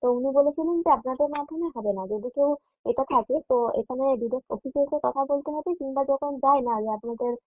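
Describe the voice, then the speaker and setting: A tinny phone line carries a woman with a fairly high voice. She talks very fast, in the polite, formal way of a customer care agent. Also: no room sound, soft background music. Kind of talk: customer service call. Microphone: telephone.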